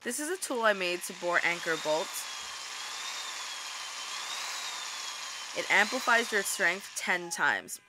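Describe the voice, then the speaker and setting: A woman's voice: on the low side, animated, moderate pace, very noisy, close to an everyday voice. A woman playing a practical, wry young lighthouse keeper and inventor, narrating and speaking in an audio drama in a conversational way. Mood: matter-of-fact.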